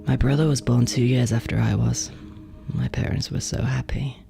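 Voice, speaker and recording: Man acting a gravelly, hushed, bitter older sibling. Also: medium pitch, fairly even intonation, normal speed, over music. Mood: bitter nostalgia. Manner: hushed confessional delivery, often dropping to whispers. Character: bitter, neglected older sibling, brooding and jealous. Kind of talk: dramatic horror monologue.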